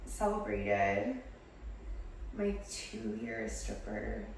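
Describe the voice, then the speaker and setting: A young woman vlogging from her home studio, a little hoarse from a head cold, chatting casually with lots of fillers. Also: extremely low pitch, sing-song, very slow, far from the mic, noisy background. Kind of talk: casual chat to camera. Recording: echoey room, voice off the mic.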